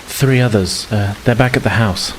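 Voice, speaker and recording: Man acting as guarded forest dweller, hesitant, short sentences, tabletop roleplay, raised pitch, light rain ambience. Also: moderate pace, a little hiss or hum, close to an everyday voice, poor audio. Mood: wary, urging.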